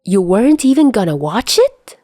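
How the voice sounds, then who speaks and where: A woman's voice: mid-range voice, sing-song, normal speed, dark tone, dry recording, clean studio sound, pitched above the performer's own voice. A woman voicing a smug, fussy teenage boy who teases and condescends in an audio story. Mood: teasing. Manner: teasing, condescending.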